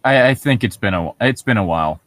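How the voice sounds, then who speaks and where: A man co-hosting, reading internet horror stories aloud with casual commentary in a halting, self-correcting manner. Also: lowish voice, animated, fairly bright tone, no echo.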